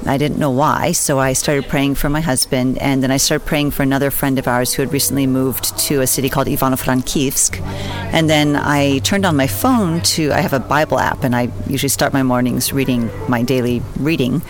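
A woman's voice: very deep voice, fairly even intonation, fairly quick, soft background music. An American missionary woman in her forties, recounting her story earnestly and steadily in an interview. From United States. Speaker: missionary guest.

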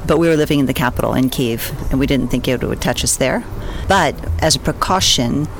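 A woman's voice: extremely low pitch, brisk, noisy background. An American missionary woman in her forties, recounting her story earnestly and steadily in an interview. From United States. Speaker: missionary guest.

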